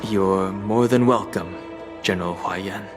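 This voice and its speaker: Low, reserved young warrior. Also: male voice, takes it slowly, music in the background. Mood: polite. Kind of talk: video game voice acting.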